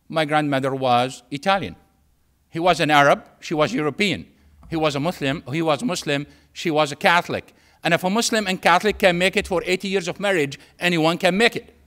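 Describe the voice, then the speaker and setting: A man from Syria with a Syrian Arabic accent and Arabic as his first language, giving a public lecture in an emphatic way with repetition and pauses for effect. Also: sits high, fairly bright tone. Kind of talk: public lecture with anecdotes and humour.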